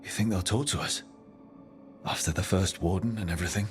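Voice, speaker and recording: A man playing a steady, earnest fantasy video game hero who speaks directly and calmly. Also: low-pitched, fairly quick, soft background music, natural voice. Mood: calm, supportive. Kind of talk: video game dialogue acting.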